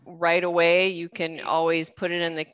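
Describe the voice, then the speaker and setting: A woman's voice: fairly low pitch, monotone, moderate pace, a bit bright, clean tone, dry recording. A woman coordinator hosting a video-call program, walking people through the call settings step by step. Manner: friendly, explains step by step with fillers. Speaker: fitness program coordinator and event host. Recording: dry, slightly dull video-call audio.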